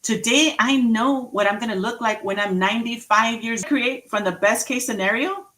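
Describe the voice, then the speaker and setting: A woman in her forties giving an inspirational talk, slow and emphatic, repeating key phrases and laughing at her own jokes. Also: fairly high pitch, pushed and bright. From Mexican heritage, raised in the US. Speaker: motivational speaker. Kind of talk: inspirational speech.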